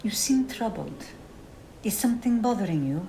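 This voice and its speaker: An actress playing a gracious, earnest museum guide in an audio play, speaking formally and precisely. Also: female voice, medium pitch, lively intonation, moderate pace, creaky, dark tone, roomy sound, a little hiss or hum, low-quality recording. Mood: gentle, helpful. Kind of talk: audio drama acting.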